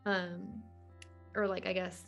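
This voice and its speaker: A woman's voice: lowish voice, level tone, slow pace, vocal fry, a bit bright, dull audio, faint music behind. A woman in her twenties talking casually as a podcast guest, in a chatty, informal way peppered with "like". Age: 20s. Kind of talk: casual conversational interview.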